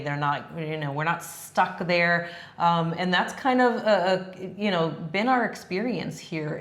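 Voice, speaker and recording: A woman whose first language is Spanish, co-hosting a casual travel chat, with frequent laughs and fillers. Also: on the low side. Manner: friendly, laughs often, many fillers. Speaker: co-host. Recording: echoey room.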